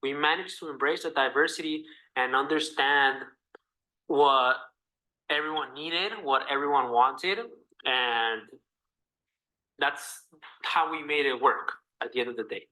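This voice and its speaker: Bright-voiced young Mexican man presenting. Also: mid-range voice, steady tone, rough recording. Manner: earnest, halting delivery. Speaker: student presenter. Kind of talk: class presentation.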